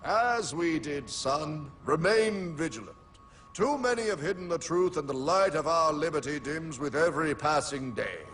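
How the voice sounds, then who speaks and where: A man voicing a stately old-time American president in a cartoon, speaking in grave, measured oratory. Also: fairly high pitch, animated, a little hiss or hum. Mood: solemn, stately. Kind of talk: animated cartoon dialogue. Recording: loud music underneath.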